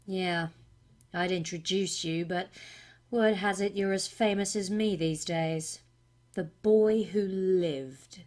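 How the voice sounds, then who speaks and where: A woman playing a gloating male dark wizard in a film monologue, slow, hushed and theatrical. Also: on the low side, steady tone. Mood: taunting.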